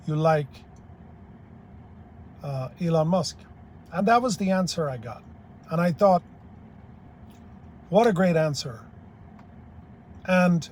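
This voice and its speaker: A man's voice: on the high side, darkish tone, slight background noise. An older man from Ireland giving a blunt, plain-spoken, rambling opinion monologue as a YouTube commentator.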